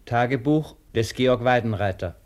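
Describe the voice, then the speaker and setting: A man's voice: medium pitch, moderate pace, a little mellow, natural voice. A man delivering precise, explanatory scripted narration in a radio drama.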